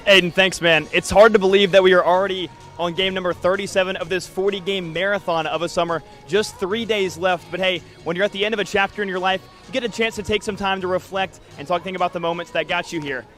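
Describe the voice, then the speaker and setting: Young man, sportscaster and interviewer. Rapid, polished read, slightly high voice. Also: fairly even intonation, a bit bright, soft background music. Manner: polished, fluent broadcast delivery. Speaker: sports broadcaster, interviewer and sideline reporter. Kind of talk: upbeat sports interview and sideline report.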